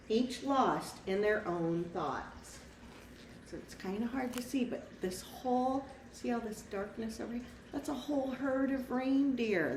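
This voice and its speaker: Woman, storybook read-aloud, unhurried pace, simple asides and questions for children, echoey room. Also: medium pitch, darkish tone. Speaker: teacher reading a picture book aloud. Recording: echoey room, distant mic, faint noise.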